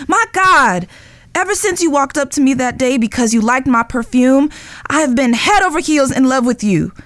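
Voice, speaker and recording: Woman acting a high-voiced, lovestruck outlaw spy woman. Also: animated, moderate pace, fairly bright tone, dry recording. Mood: exasperated, anguished. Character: lovestruck, guilt-ridden young woman, undercover spy for outlaw family. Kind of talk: dramatic audio drama acting.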